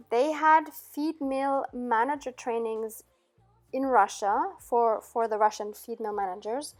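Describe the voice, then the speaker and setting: A middle-aged woman raised in Austria, answering viewer questions in a relaxed vlog with many "um"s and little laughs. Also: high voice. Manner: chatty, frequent "um" and soft laughs. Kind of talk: casual Q&A chat.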